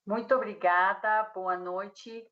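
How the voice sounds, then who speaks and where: A Mexican woman, a speech therapist, speaking Portuguese with a Mexican Spanish accent, halting with many fillers and restarts on a video call. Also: mid-range voice, steady tone, muffled recording. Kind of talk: hesitant conference talk. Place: room at home.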